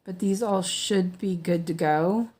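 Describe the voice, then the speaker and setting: Slow-talking hoarse woman stitching at home. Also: on the low side, warm tone. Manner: chatty, rambling, many ums and self-corrections. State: hoarse from allergies. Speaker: cross-stitch streamer. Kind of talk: casual stitch-along chat.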